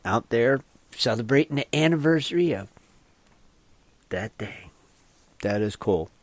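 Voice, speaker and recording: A man's voice: medium pitch, lively intonation, unhurried. A man hosting a casual radio chat show, upbeat and agreeable, laughing often.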